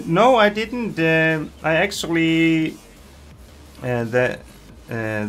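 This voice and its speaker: Male streamer, mid-pitch, chatty and hesitant, reads chat aloud, faint music bed. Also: fairly bright tone. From Iceland. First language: Icelandic. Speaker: game streamer. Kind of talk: casual live-stream chat.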